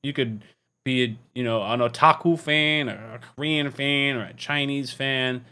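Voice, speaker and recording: A man's voice: mid-range voice, a little slow, sharp tone, smooth. A man hosting a reaction video, talking casually and candidly in a rambling, tired way. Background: music.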